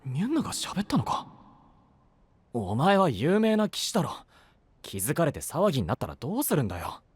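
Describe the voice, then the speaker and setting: A man playing a rough-talking, easily flustered young knight in an anime-style voice drama, loyal and often exasperated. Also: on the high side, wildly swooping pitch, a little fast, no echo, heavily acted.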